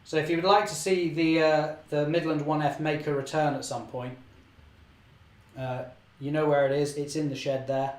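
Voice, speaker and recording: A young man, slightly hoarse, chatting cheerfully through a live model railway session and correcting himself often. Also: fairly high pitch, flat delivery, normal speed, roomy sound. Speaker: model railway channel host. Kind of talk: live-stream running commentary.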